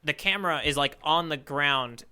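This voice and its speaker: A man with a very bright, forceful voice, pitched a little high and cleanly resonant. He talks as a podcast co-host in loose, playful conversation. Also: normal speed, clean tone. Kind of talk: casual chat about manga panels.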